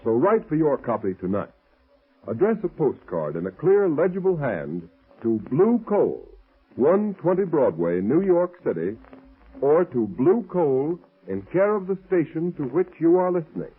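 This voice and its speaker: Animated, rough-voiced vintage radio announcer, male. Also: fairly high pitch, unhurried. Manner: formal, emphatic. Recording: very muffled, rough old radio recording.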